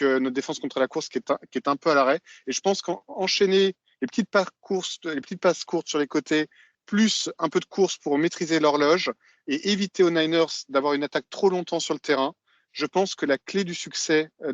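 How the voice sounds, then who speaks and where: A man co-hosting a podcast who talks hesitantly, with many filler pauses. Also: fairly high pitch, fairly bright tone, dry recording, dull audio. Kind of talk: casual podcast chat.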